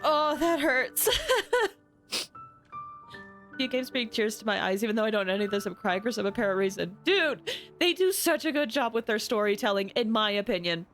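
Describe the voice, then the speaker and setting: A woman streamer chatting casually over a game, with emotional, expressive, gushing reactions. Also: a little high, normal speed, faint music behind.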